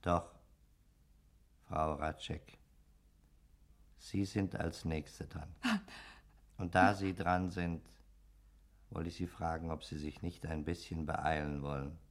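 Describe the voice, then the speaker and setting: A man's voice: extremely low pitch, flat delivery, slow, pauses often, exaggerated voice. An actor playing the polite, sardonic ghost of a murdered man in a radio play, chatty and mocking. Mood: softly menacing. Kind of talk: German radio play acting.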